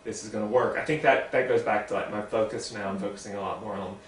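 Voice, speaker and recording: A man's voice: on the low side, fairly even intonation, fast, distant mic. A man from Mississippi with a light Southern accent, a guest writer chatting in an easygoing, talkative way. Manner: easygoing, talkative, with likes and you knows. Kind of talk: casual interview chat. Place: home kitchen. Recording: echoey room, voice off-mic, faint hum.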